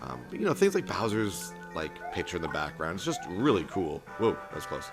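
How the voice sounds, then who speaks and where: A young man talking casually over a retro game he is playing, in an even, monotone way, with many ums and restarts. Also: sits low, brisk. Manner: self-described monotone, rambling with filler and restarts. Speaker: let's play host. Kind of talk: casual gameplay commentary. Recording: game music playing behind voice.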